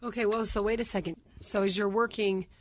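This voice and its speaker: A woman's voice: mid-range voice, steady tone, fairly quick. A woman co-hosting a podcast who talks casually and thinks aloud while she responds to a guest. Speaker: podcast co-host. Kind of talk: casual interview talk.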